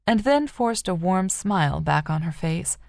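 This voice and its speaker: Creaky-voiced woman narrating a novel. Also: on the low side, lively intonation, unhurried, a little mellow, no echo, studio quality. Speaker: audiobook narrator. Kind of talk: scripted fiction narration.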